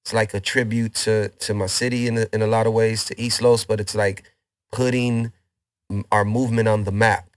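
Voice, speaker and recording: Laid-back rapper from LA. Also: male voice, fairly low pitch, level tone, moderate pace, no echo, studio quality. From Los Angeles, Boyle Heights. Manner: laid-back street slang, many restarts. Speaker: rapper guest. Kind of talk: casual interview chat.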